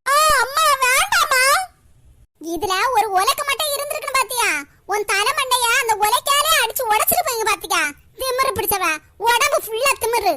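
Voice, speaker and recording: A woman doing a furious old grandmother who shouts and scolds in a home drama, her voice very high and bright. The sound is dry and close, with no room around it. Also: quick pace, no echo. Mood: furious scolding.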